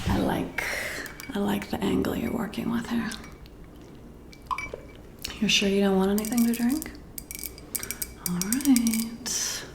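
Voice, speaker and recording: A woman speaking in a soft, breathy whisper in an intimate ASMR roleplay, addressing the listener in a flattering way as a friendly boss. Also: medium pitch, heavy background noise. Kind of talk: soft-spoken ASMR roleplay, one-sided intimate chat.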